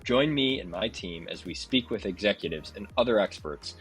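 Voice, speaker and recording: A man hosting a leadership podcast, reading a scripted intro and then interviewing a guest in a measured, friendly way. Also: lowish voice, lively intonation, a bit bright, soft background music, low-quality recording. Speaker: podcast host and executive coach.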